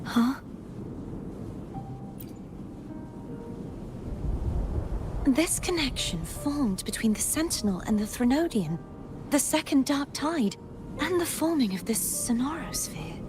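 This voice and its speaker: An actress playing a solemn young maiden troubled by lost memories in a video game, speaking in a measured, pensive way. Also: female voice, high-pitched, sing-song. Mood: puzzled, brooding. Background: loud game music.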